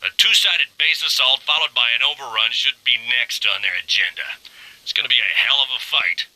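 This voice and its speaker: A wry, swaggering pirate mech pilot lays out a video game mission briefing. His voice is forceful and very bright, recorded close and dry. The delivery is understated and sardonic. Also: medium pitch, a little fast, dry recording, low-quality recording. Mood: wry, resolute.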